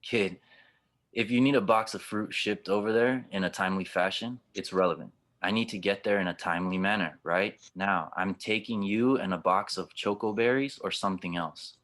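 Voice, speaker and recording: A man playing a gruff, cynical cyborg spaceship skipper who talks wryly and probingly in an audio drama table read. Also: fairly low pitch, normal speed, a bit bright, natural voice.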